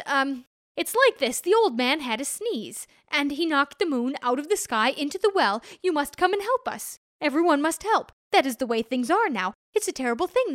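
A muddled, earnest young simpleton from a storybook, rambling on with little hesitations, as a woman narrator puts him on. The voice is high and the sound is dry and close. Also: dry recording.

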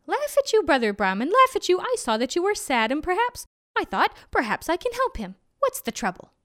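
Lady doing a silly eager jackal for a kids story, high pitched n muddled, repeats himself, secretly clever. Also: wildly swooping pitch, a little fast, fairly bright tone, no echo, studio quality, heavily acted. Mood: playful, sincere. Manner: eager, befuddled, repeats words. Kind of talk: storybook character voice.

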